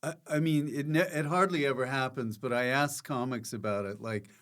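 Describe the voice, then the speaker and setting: A man's voice: mid-range voice, normal speed, fairly bright tone. A man hosting a casual interview, asking questions and tossing in jokey, brief interjections. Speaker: podcast host.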